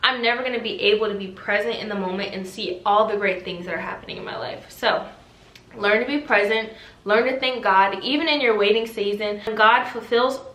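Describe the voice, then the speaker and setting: A woman in her twenties, a faith vlogger answering viewer questions in a talkative, animated way, exhorting listeners with rhetorical questions. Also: medium pitch, a little fast. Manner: talkative and animated, self-described loud yappy voice. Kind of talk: casual faith chat, preachy Q&A. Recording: echoey room.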